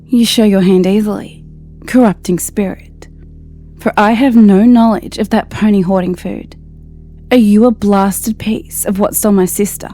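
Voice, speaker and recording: A man's voice: high-pitched, steady tone, normal speed, dark tone, faint music behind, voice pushed up, lightly acted. A man voicing a tired but proud female queen, speaking regal and defiant.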